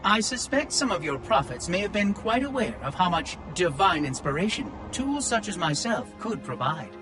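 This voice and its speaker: High lively voice, nosy old robot thing, kinda condescending. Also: male voice, normal speed, over music. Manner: prying, quietly condescending. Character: curious ancient male machine caretaker, condescending. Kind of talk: video game cutscene dialogue.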